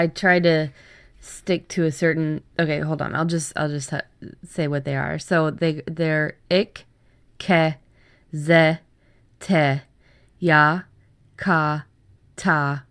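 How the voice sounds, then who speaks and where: A woman's voice: sits low, fairly even intonation, slow pace, pauses often, clean tone, no room sound. A woman co-hosting a casual writing workshop chat, speaking informally and often breaking off and restarting her thoughts. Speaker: podcast co-host and writer.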